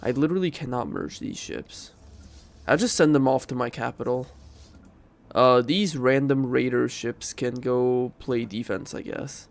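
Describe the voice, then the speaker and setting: Gravelly-voiced man with a stuffy nose. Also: medium pitch, a little slow. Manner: thinking aloud, rambling, self-correcting. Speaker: game streamer. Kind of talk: casual gameplay commentary.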